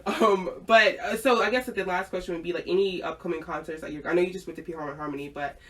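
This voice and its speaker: A woman's voice: fairly low pitch, quick pace. Female host, casual, giggly, lots of ums, mic set back from her. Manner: giggly, many fillers and restarts. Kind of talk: casual chat. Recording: distant mic.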